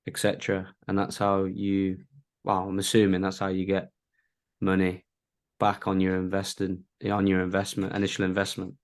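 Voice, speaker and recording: A man's voice: deep voice, completely flat delivery, moderate pace. A man from the UK speaking casually as a participant in an online class on a video call.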